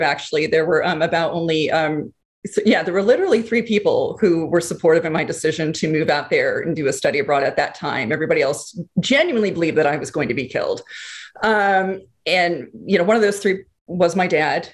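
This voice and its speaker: Woman, thirties, lowish pitch, chatty guest who restarts often. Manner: chatty, with many fillers and restarts. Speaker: guest, screenwriter and former archaeologist. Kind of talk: casual interview storytelling.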